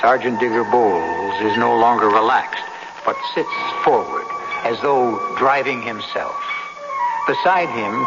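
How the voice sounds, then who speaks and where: A man narrating a radio drama as host in a measured, suspenseful, scripted style. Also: mid-range voice. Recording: dull old radio broadcast recording.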